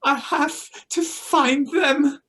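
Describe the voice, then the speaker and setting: A woman's voice: high-pitched, steady tone, extremely slow, fairly bright tone, exaggerated voice. A woman playing a frightened, distraught mother in a table read. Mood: distraught, scared. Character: Emma, frightened distraught young mother searching for children.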